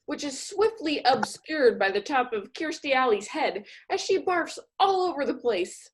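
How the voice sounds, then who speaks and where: A woman narrates comedy in a high, very expressive voice. She sounds theatrical and wry as she reads the script. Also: measured pace, a little hiss or hum, rough recording. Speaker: comedy troupe performer, narrator.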